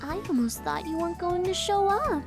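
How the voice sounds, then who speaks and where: A woman's voice: very high voice, a little fast. An actress playing a brainy, eager young woman engineer in an anime visual novel. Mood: excited, proud. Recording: loud music behind the voice.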